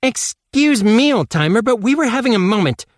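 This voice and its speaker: A man voicing an emotional teenage girl with a high, shaky, pleading voice. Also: sing-song, a little fast, dry recording, clean studio sound. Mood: tearful, emotional.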